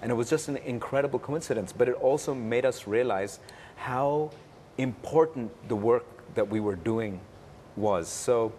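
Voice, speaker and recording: A man from Pakistan giving interview answers as a producer, in a halting way with pauses and repetitions. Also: mid-range voice, warm tone. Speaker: interview guest, cartoon producer. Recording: faint background room noise.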